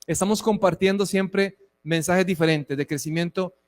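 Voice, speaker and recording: High-voiced preacher in a reverberant hall. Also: male voice, fairly even intonation, normal speed. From Costa Rica. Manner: warm, conversational, with frequent asides. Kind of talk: sermon and church address. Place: church hall.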